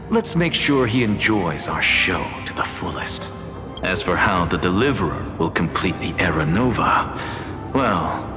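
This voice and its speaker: An actor playing a proud, theatrical demigod scholar of reason in a video game, speaking in a measured, self-assured way. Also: male voice, low-pitched. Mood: smug, theatrical. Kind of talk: dramatic game voice acting. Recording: echoey hall reverb with loud music. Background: loud music.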